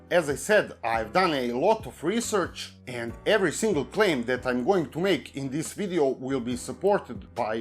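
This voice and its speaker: A man with a Serbian accent, a native Serbian speaker, delivering scripted commentary in a measured, even way as he reads from a prepared text. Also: on the high side. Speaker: YouTube commentary host. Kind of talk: scripted commentary read aloud.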